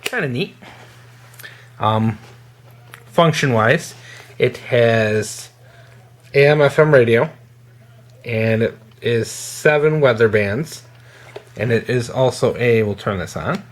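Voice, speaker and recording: A man giving a casual, hands-on product demonstration in an informal, halting way as a gear reviewer. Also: medium pitch.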